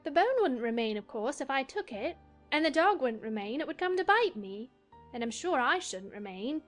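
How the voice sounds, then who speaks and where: A woman's voice: sits high, very animated, normal speed. A woman playing a polite, sensible, puzzled little girl, earnest and faintly exasperated, in an audiobook drama. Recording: music behind the voice.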